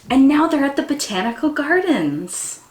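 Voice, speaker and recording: A woman's voice: sits high, sing-song, measured pace, reverberant, a little hiss or hum. A young woman reading a storybook aloud to children, with exaggerated wonder and sing-song emphasis. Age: young adult. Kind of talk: animated children's read-aloud. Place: small room indoors by a window.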